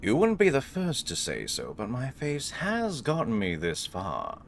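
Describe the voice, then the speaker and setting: A man's voice: medium pitch, wildly swooping pitch, unhurried, gravelly, a little hiss or hum. A man hosting a gaming video with casual, joking commentary and wry, playful banter. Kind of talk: casual joking let's play commentary, reading game text aloud.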